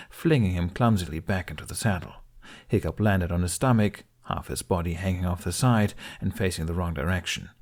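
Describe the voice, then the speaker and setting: A man reading a fantasy story aloud as narrator, in an unhurried, measured way. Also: sits low, a little mellow. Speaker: fan fiction audiobook reader.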